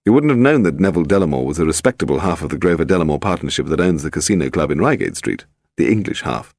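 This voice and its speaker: A man voicing an urbane, unflappable English gentleman detective in a crime story, teasing and assured. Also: rumbling low, animated, fast talker, dry recording, clean studio sound, exaggerated voice.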